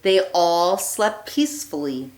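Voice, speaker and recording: A woman teacher reading a children's picture book aloud, phrasing deliberately and expressively with emphasis on the rhymes. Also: lowish voice, sing-song, darkish tone. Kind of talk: read-aloud storytelling for young children. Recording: echoey room.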